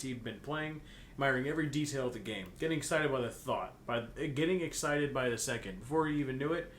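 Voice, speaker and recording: A man's voice: mid-range voice, fairly bright tone. Joking man reading aloud, echoey room. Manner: joking, mocking asides between narration. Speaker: co-host reading fan fiction aloud. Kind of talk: comedic read-aloud with casual banter. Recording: echoey room, faint background noise.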